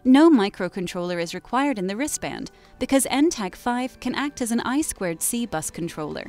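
A woman giving scripted corporate product narration in an even, measured and clearly enunciated way. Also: on the high side. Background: soft background music.

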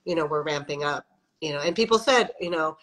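A woman's voice: low voice, a little fast, fairly bright tone. A middle-aged woman from the Midwest, a faith leader speaking as a guest in a casual interview over a video call, reflective and conversational, with many "you know" fillers. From American Midwest. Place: home office with windows, indoors. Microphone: video call webcam.

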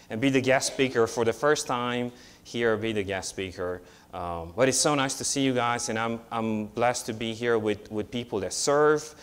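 A man's voice: lowish voice, smooth. A man in his thirties from Romania, with a Romanian accent, giving a chatty, story-filled guest sermon from a church pulpit. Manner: chatty, storytelling, with frequent restarts and growing excitement. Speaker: guest preacher.

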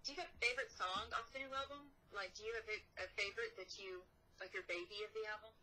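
An interviewer asking casual, chatty questions over a call, easygoing and quick to laugh. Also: mid-range voice, fairly even intonation, sharp tone, slight background noise, poor audio.

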